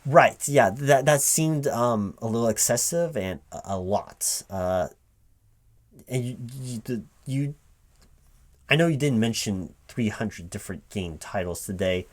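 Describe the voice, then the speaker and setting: A man playing a polite, flustered hiring manager in an improvised interview roleplay, speaking aloud in a halting, rambling, stammering way. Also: mid-range voice, animated. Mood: baffled, curious. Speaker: recruiting manager in a one-sided roleplay.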